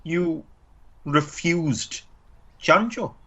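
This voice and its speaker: A man's voice: fairly high pitch, drawn-out words, bright, forceful tone, heavily acted. Placating Irish village guard, acted by a man. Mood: doubtful. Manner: placating, hesitant, officious. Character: complacent, dismissive small-town police guard. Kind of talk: amateur radio play acting.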